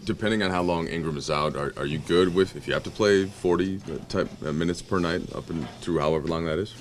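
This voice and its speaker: A male sports reporter asking rapid, rambling postgame questions with restarts. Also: low-pitched, a little hiss or hum.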